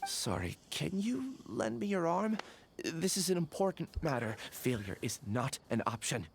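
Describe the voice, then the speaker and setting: A man voicing a distressed, weakened man in a video game, strained, halting and urgent. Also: on the high side, very animated, creaky, a little hiss or hum, heavily acted. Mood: desperate. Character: distressed, weakened man desperate to report a crime.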